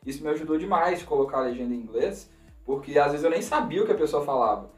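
A man in a casual chat who talks quickly and chimes in readily. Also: on the high side, expressive, darkish tone. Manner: quick, agreeing interjections. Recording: roomy, distant mic with faint music.

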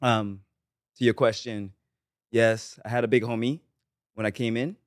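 A man's voice: medium pitch, fairly even intonation, moderate pace, dry recording, studio quality. Laid-back man from Barbados talking. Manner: easygoing, repeats "you know what I'm saying". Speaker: guest musician. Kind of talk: casual podcast chat.

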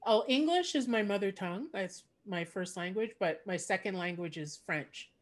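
A woman's voice: mid-range voice, lively intonation, normal speed. A woman from Canada, a stand-up comedian chatting casually on a video call, laughing often and trailing off in filler-heavy phrases.

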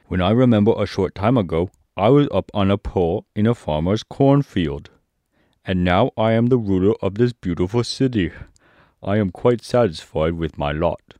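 A man's voice: sits low, lively intonation, normal speed, mellow, dry recording. A man voicing a self-satisfied, practical scarecrow ruler in a storybook reading.

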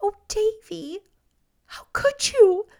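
Woman as a gently reproachful young schoolmistress, high voice. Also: sing-song, slow, exaggerated voice. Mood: tearful, hurt. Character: gentle young schoolmistress, sorrowful and gravely correcting. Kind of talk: storybook character voice.

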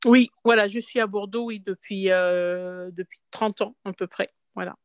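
A woman in her fifties from Morocco, a podcast interview guest who talks in a halting way with frequent restarts. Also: mid-range voice, fairly even intonation, no room sound. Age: 50s. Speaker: interview guest, tea room owner.

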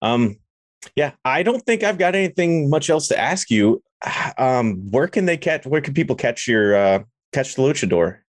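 A man's voice: mid-range voice, very animated, normal speed, no echo, studio quality. A man hosting a casual podcast interview, easygoing and appreciative of his guest.

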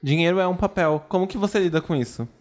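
A Brazilian man with a Brazilian accent, running a comic mock job interview in a brisk, teasing way. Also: high-pitched, a little mellow. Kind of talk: improv comedy game.

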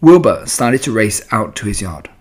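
A man narrating a children's book aloud in an expressive storytelling style. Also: on the low side, normal speed, breathy edge.

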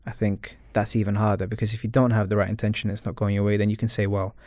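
A man's voice: on the low side, level tone, quick pace, darkish tone, no room sound, studio quality. A man speaking as an interview guest, talking earnestly and reflectively about his personal life.

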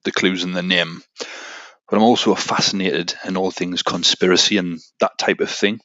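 Deep-voiced man hosting a podcast, crisp forceful tone. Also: steady tone, normal speed, no room sound, highs cut off. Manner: conversational, addresses listeners directly. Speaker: podcast host. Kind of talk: scripted podcast narration with casual asides.

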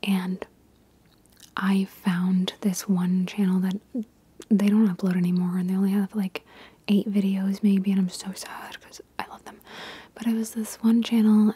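A woman chatting informally and ramblingly in a breathy ASMR whisper, answering viewer questions as a video creator. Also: medium pitch, warm tone.

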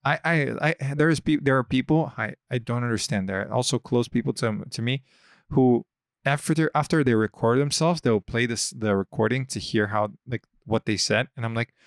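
A man hosting a podcast in casual chat, often pausing and restarting as he searches for words. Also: mid-range voice, no echo, clean studio sound. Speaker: podcast host. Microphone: studio podcast mic.

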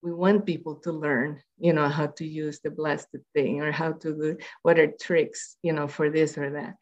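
A woman's voice: sits low, little pitch movement, normal speed, dull audio. A woman from Puerto Rico, a public health researcher, speaking in a conversational interview and rambling with many fillers and restarts.

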